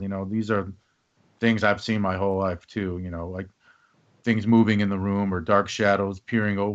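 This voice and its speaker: Low-voiced man hosting a podcast. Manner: rambling, with fillers and back-channel murmurs. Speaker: podcast host. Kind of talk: casual conversation. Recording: dull lo-fi podcast audio.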